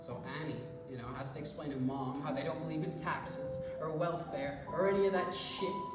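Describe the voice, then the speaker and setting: An actor performing a theatrical monologue as a rambling, sentimental storyteller, speaking casually with halting, emotional repetitions. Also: medium pitch, fairly even intonation, close to an everyday voice. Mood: zealous, heated. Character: rambling, sentimental storyteller moved by a family reunion, turning zealous. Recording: very echoey hall, distant mic, music behind.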